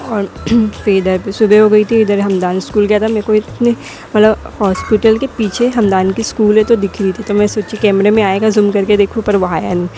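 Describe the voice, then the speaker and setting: A woman's voice: medium pitch, flat delivery, moderate pace, dark tone, faint music behind. A young woman with a sore throat narrating her family vlog as a casual voice-over, rambling and correcting herself as she goes. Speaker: vlogger.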